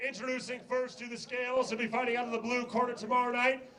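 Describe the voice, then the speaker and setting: A man's voice: very high-pitched, flat delivery, pushed and bright. A man making formal boxing weigh-in announcements as ring announcer, showily stretching out the names. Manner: showy, drawn-out names.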